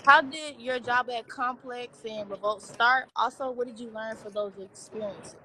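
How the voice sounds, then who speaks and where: A woman's voice: fairly high pitch, normal speed, pushed and bright, slight background noise. A young woman in her twenties, a student interviewer asking questions in a hesitant way, full of fillers and restarts. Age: 20s. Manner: hesitant, filler-heavy, self-correcting.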